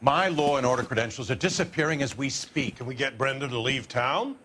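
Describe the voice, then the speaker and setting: A man's voice: medium pitch, lively intonation, a little fast, a bit bright, slight background noise, lightly acted. Game role: male actor as indignant politician, irritable and pompous, mid register. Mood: worried, brusque. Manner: brusque, indignant. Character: irritable, self-important male city mayor under pressure. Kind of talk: video game dramatic acting.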